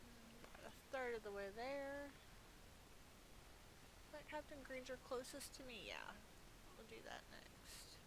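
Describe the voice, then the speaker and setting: A woman's voice: high voice, animated, measured pace, long pauses, noisy background. A woman giving casual, playful commentary as she plays a video game, chatty and muttering asides to herself. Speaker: gaming video host, player.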